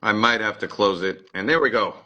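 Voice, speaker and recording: Bright-voiced man hosting a livestream. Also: lowish voice, brisk, lo-fi sound. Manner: chatty, filler-heavy, repeats words. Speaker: livestream host. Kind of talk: casual livestream interview.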